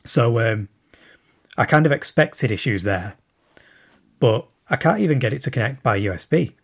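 A man with a husky, slightly breathy voice leaves a long recorded message for a show. He thinks aloud as he goes, circling back with plenty of ums. His voice sits at an ordinary middle pitch. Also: animated, normal speed. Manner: rambling, thinking aloud with many fillers. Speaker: listener sending in a question.

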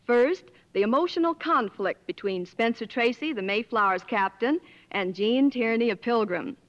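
A woman's voice: on the high side, lively intonation, normal speed. A woman commentator doing scripted promotional chat on 1950s radio in a gushing, polished way. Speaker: guest Hollywood commentator. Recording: dull vintage 1950s radio broadcast.

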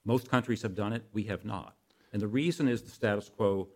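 An older American man speaking as an expert guest in an interview, explaining things in a halting way with self-corrections. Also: fairly low pitch, a little mellow.

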